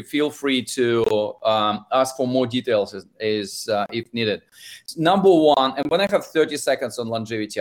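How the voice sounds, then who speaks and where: A man from Russia with a Russian accent, answering interview questions as an investor, often restarting his phrases. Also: medium pitch. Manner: halting, restarts phrases, hesitant fillers. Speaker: investor and author.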